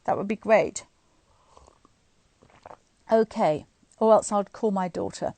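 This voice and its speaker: A middle-aged woman reading a book aloud to online listeners in an expressive, informal, chatty way. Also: medium pitch, takes it slowly, creaky, no room sound. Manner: informal, chatty asides between expressive read passages. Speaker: guest reader of a bedtime story series. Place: indoors at home, on a live online stream.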